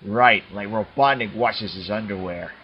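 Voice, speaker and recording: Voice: low voice, measured pace, dull audio. A performer voicing a cocky, wisecracking male blue hedgehog hero in a fan dub of a cartoon, with music behind.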